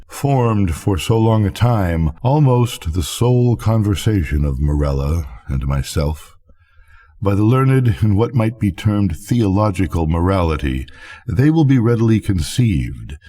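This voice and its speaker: An older man reads a literary tale aloud in a low, husky voice. His tone is dark and mellow, and he phrases each sentence deliberately, pausing as he goes. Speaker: storyteller, reader. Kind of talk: literary bedtime story reading.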